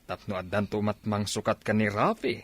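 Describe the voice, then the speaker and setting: A man's voice: on the low side, flat delivery, normal speed, no room sound, lightly acted. A man with an Ilocano accent playing an older, insistent father longing for his grandchild in a radio drama, emphatic and pleading.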